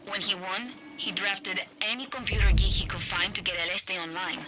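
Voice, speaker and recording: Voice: mid-range voice, normal speed, music in the background, lightly acted. An actor playing a dry, matter-of-fact hacker ally in a video game.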